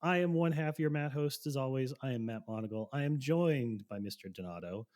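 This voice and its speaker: A man co-hosting a podcast in casual chat, playful and swearing freely, his voice lowered by a lingering cold. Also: brisk, darkish tone. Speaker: podcast co-host.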